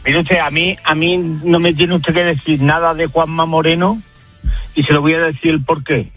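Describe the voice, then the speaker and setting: A man's voice: fairly high pitch, little pitch movement, moderate pace, fairly bright tone, faint music behind. A man speaking earnestly and emphatically in a recorded call played on air. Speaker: voter on a phone call.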